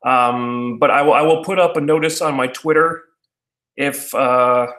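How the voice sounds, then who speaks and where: A man, chatting casually with his viewers in a halting way, full of fillers and restarts. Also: medium pitch, fairly bright tone, reverberant. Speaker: YouTuber answering viewer questions. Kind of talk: casual rambling chat.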